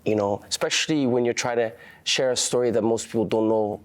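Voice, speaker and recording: A young man whose first language is Farsi, giving earnest, halting interview answers full of restarts. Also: mid-range voice. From Canada. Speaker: activist guest.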